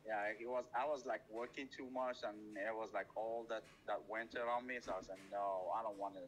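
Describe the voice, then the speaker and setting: A man's voice: on the low side, fairly even intonation, fairly quick, a little hiss or hum, poor audio. A man from Morocco chatting on a call, shy and hesitant with many fillers. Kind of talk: casual phone chat.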